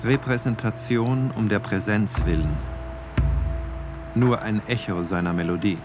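A man reciting scripted aphorisms for a radio play with deliberate, separated phrasing. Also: on the low side, lightly acted. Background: music.

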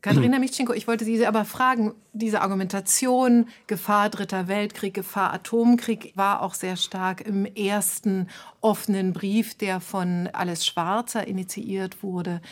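A woman's voice: mid-range voice, steady tone, moderate pace. A woman moderating a panel debate, putting long, probing, journalistic questions to her guests.

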